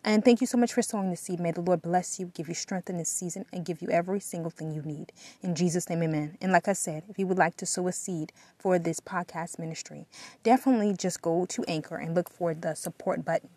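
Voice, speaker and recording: A woman's voice: on the low side, quick pace, warm tone, no room sound. Woman giving earnest devotional talk, dry sound. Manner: earnest, exhorting, repeats phrases for emphasis. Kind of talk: devotional encouragement talk with prayer.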